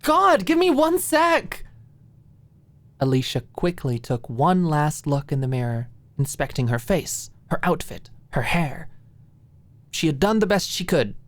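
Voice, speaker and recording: Female audiobook narrator, notably low voice, unhurried pace, expressive storytelling delivery. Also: dry recording.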